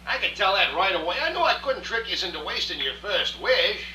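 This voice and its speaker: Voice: mid-range voice, big pitch swings, a little fast, pushed and bright. An actor playing a wisecracking, streetwise genie with a New York accent and slangy, chummy patter in a live radio drama. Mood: brash, chummy. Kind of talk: stage radio drama acting. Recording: roomy far-off rehearsal recording, faint hiss.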